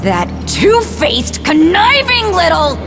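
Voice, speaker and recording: Young half-demon spirit, high lively voice, snarky, emotional, indignant, game cutscene, background music. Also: female voice, slow, heavily acted. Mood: seething. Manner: sarcastic, indignant. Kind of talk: video game character acting.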